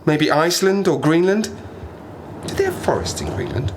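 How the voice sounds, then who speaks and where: A man's voice: a little high, big pitch swings, normal speed, slight background noise. An actor playing a lonely, half-mad plane-crash survivor in an audio drama, rambling and self-mocking as he talks to himself. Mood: wistful, rambling. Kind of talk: audio drama monologue, diary-like.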